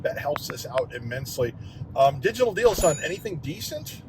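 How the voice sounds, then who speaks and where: A hoarse man with a Midwestern American accent, hosting a casual shopping vlog and chatting about prices. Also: fairly high pitch, sing-song, moderate pace, background noise. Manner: chatty, talks prices aloud. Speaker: vlog host. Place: car, then grocery store aisles.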